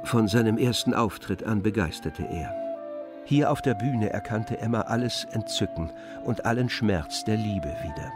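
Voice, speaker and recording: A man narrating a literary radio play in a scripted, storytelling style. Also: on the low side. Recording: music behind the voice. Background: music.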